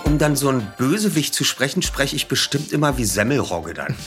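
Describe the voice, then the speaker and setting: A voice actor casually telling anecdotes from the recording studio in a relaxed way. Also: male voice, medium pitch, brisk, over loud music.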